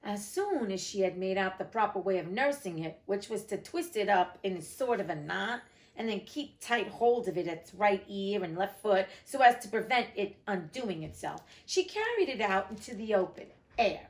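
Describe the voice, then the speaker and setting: A woman's voice: medium pitch, very animated, normal speed. A woman narrating a storybook theatrically, with casual, irreverent asides. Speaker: host reading a classic novel aloud.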